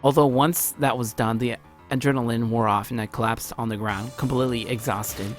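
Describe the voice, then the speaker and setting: Casting: male let's play narrator, medium pitch, dramatic amateur read with stumbles, background music. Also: steady tone, a little fast. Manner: theatrical, often stumbles over the text. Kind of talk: dramatic read-aloud narration of visual novel. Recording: music behind, low-quality home recording.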